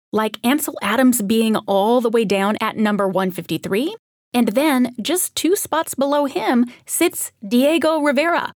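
A woman's voice: a little high, no echo, clean studio sound. A woman hosting an art history podcast, reading a scripted narration in a conversational way with wry asides. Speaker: podcast host.

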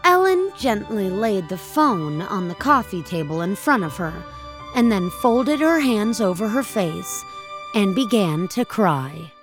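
Expressive, unhurried American woman narrator. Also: medium pitch, faint music behind. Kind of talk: scripted audio fiction narration.

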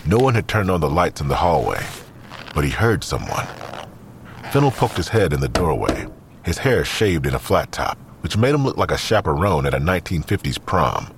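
A very low-voiced man narrates a police drama in measured scripted prose. His pitch moves expressively, with clear ambient noise behind him. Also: sing-song. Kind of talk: scripted third-person audio drama narration.

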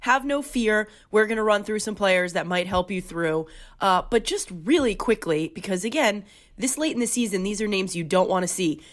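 A woman's voice: medium pitch, expressive, normal speed. A woman co-hosting a podcast with a nasal, stuffed-up voice from a head cold, upbeat and laughing easily. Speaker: podcast co-host. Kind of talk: casual chat.

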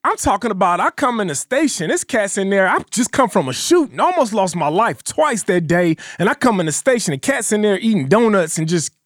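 A man, a former police officer, telling stories in a casual podcast chat. He is animated and laughs often. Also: high voice, fairly quick, hardly any pauses, no echo, studio quality.

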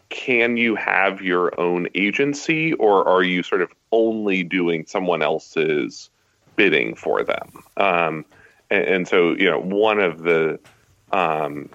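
Low-voiced man, halting and analytical. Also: fairly bright tone, no room sound, dull audio. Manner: halting, analytical, frequent hedging phrases. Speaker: guest journalist. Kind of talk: conversational interview commentary.

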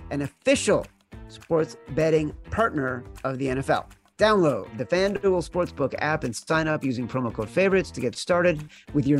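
A man, a podcast host, reading a scripted sponsor advertisement with its terms and disclaimers. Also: fairly high pitch. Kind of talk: scripted ad read.

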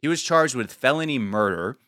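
A man hosting a podcast in casual, comedic news chat, animated and slangy, slipping into mocking acted-out quotes. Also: medium pitch, fairly quick, no room sound, clean studio sound. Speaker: podcast host.

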